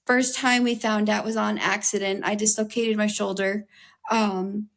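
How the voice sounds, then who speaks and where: Slightly raspy young woman, earnest talk. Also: medium pitch, flat delivery, a little slow. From United States. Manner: earnest, heavy use of "like" and "um". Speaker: interview guest. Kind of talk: candid conversational interview.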